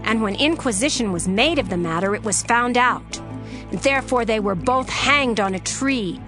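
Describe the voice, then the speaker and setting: A woman's voice: fairly high pitch, lively intonation. Woman narrating scripture over music. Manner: formal, measured storytelling.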